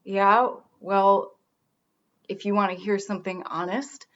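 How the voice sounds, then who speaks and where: A woman's voice: mid-range voice, steady tone, unhurried, a bit bright, close to an everyday voice. A woman playing a sarcastic, defensive radio-show boss in a naturalistic comedy drama, recorded in dull lo-fi sound. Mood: combative. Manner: sarcastic, confrontational.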